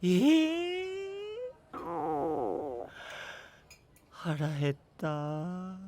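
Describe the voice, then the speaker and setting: Casting: artless, boyish knight, high youthful register, hugely expressive, anime-style audio drama. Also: male voice, drawn-out words, soft background music, full character voice. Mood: drained. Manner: casual, eager, guileless. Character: boyish, artless, hearty young male knight.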